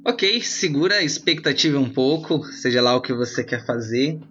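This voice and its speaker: A man acting as game master, improvising roleplay narration in an informal, conversational, halting way. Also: medium pitch, expressive, sharp tone. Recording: slightly muffled, band-limited audio with music. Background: background music.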